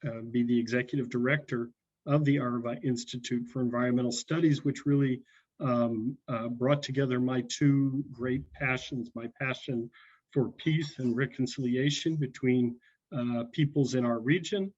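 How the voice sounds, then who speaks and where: A man in his sixties from North Carolina addresses a congregation over a video call. He talks in a halting way, full of ums and uhs. The sound is dull and lo-fi. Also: medium pitch, fairly even intonation, a little mellow, husky. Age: 60s. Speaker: guest speaker. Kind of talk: personal talk to a congregation.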